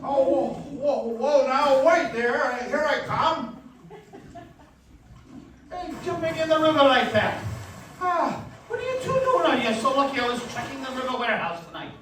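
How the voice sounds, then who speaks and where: A man performs an excitable night watchman in an old-style radio drama. The voice is high and blustery, leaping about in pitch. It comes from far off in a very reverberant hall. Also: normal speed, heavily acted. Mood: alarmed, fussing. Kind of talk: 1940s radio play acting. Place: live stage performance in a dance hall. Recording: echoey hall, distant, faint noise.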